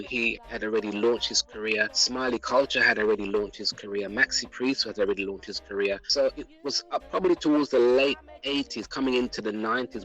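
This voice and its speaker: Bright-voiced South London man reminiscing. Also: mid-range voice, low-quality recording. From England. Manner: reflective, unhurried storytelling with repeated phrases. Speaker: guest singer. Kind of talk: relaxed interview talk.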